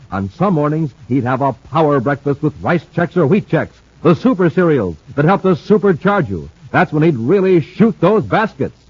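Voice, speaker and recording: Lively vintage radio announcer man. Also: slightly rough, no echo. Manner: energetic, lively sales pitch. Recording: dull old radio recording.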